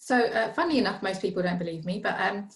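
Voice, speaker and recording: A woman's voice: mid-range voice, expressive, fast talker. A woman born and raised in Norway, a finance professional chatting as an interview guest, often repeating words as she restarts her sentences. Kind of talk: casual interview conversation.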